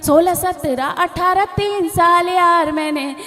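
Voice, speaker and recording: A young woman recites verse at a stage poetry gathering, her voice high and her pace drawn out. She repeats each couplet and sings the refrains slowly. Soft music sits faintly behind her. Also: long reverb tail. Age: young adult. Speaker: poet at a mushaira.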